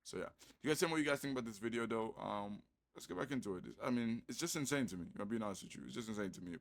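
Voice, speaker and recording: A man's voice: on the low side, animated, racing. A man giving casual commentary to his viewers, loose and conversational, calling them bro. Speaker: commentary YouTuber.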